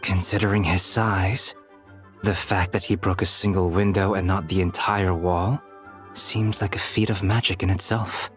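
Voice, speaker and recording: A calm, analytical young man from a video game, voiced by a male actor. His low voice stays flat and deadpan, with precise phrasing over music. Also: moderate pace, lightly acted. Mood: dry amusement.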